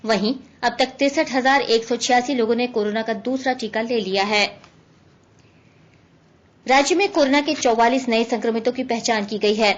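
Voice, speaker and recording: High-voiced woman reading radio news, dull sound. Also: moderate pace. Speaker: news reader. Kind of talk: formal scripted radio news bulletin. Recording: dull band-limited radio recording.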